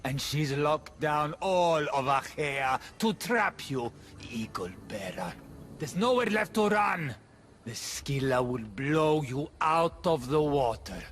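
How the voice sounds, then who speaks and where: A man's voice: a little high, lively intonation, takes it slowly, fairly bright tone. A man playing a gloating, defiant villain's henchman in a video game cutscene. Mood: gloating menace.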